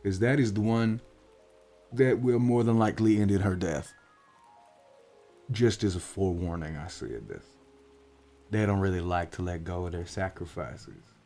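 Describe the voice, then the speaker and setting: A man voicing a gruff, world-weary orc private investigator in a soft-spoken roleplay, with a folksy, wry drawl. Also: deep voice, normal speed, long pauses, faint music behind. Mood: serious, explaining. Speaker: voice actor in roleplay audio.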